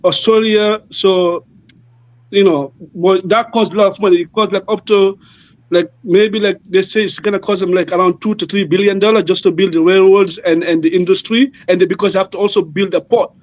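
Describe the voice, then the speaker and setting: Brief: man from Guinea, native French speaker, high voice, halting explainer, dull dry lo-fi recording. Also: fairly even intonation, dry recording. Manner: halting, many fillers and restarts. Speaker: panel guest. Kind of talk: casual explanatory talk.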